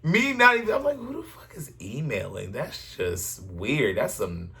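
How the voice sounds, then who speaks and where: Slow talking guy telling stories, slangy and sweary, pitch jumps all over, kinda playful and defensive. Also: very animated, pushed and bright. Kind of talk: casual chat, joking storytelling.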